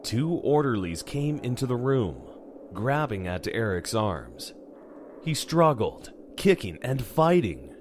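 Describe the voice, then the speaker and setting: A man's voice: medium pitch, big pitch swings, slow, a little hiss or hum. A man narrating a scripted horror drama as its storyteller.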